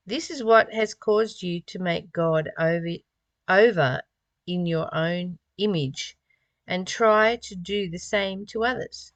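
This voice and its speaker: A woman's voice: medium pitch, a bit bright, no echo. A woman reading spiritual text aloud and commenting on it informally in a reflective, musing way, often posing rhetorical questions. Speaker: spiritual study reader and commentator.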